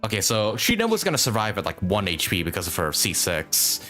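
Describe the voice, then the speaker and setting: A man's voice: on the low side, wildly swooping pitch, a little fast, sharp tone, faint music behind. A man doing casual live commentary as a game streamer, thinking aloud as he plays and swearing casually.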